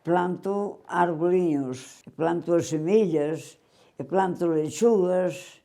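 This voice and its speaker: An older man, calmly content with his life at home. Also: high voice, takes it slowly, creaky. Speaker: documentary interviewee.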